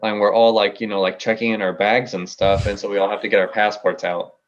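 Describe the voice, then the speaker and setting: A man's voice: lowish voice, flat delivery, fast talker, highs cut off. A nineteen-year-old man with an American accent, telling a story casually as a podcast guest and playfully arguing his point. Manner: playfully argumentative. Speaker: podcast guest, music artist.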